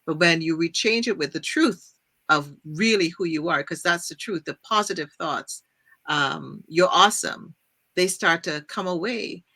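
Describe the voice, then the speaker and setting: A woman's voice: on the low side, unhurried, sharp tone. A woman from Trinidad hosting a faith-based talk show, speaking earnestly and thinking aloud. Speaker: podcast host. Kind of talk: casual faith-based conversation.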